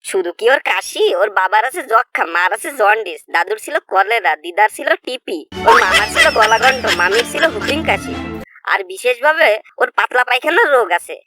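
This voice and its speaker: Woman as high, quick cartoon braggart guy. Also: animated, sharp tone, faint music behind. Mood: brazen. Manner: boastful, rattling off exaggerated lists. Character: cocky, greedy young male friend who exaggerates wildly. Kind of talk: comedy cartoon dialogue.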